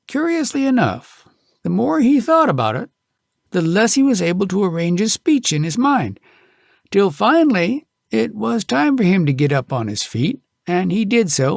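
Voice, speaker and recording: A man reads a tall tale in a folksy, dramatic storyteller's style. His pitch sits a little high and moves around expressively, with a creaky grain in the voice. The sound is dry and intimate. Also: no room sound. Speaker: audiobook narrator.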